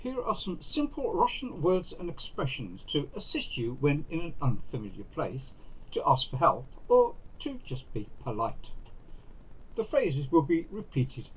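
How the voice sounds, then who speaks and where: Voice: mid-range voice, sing-song, a little slow, breathy edge. A narrator reading a scripted language lesson, giving each prompt phrase on its own like an announcer. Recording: dull lo-fi recording.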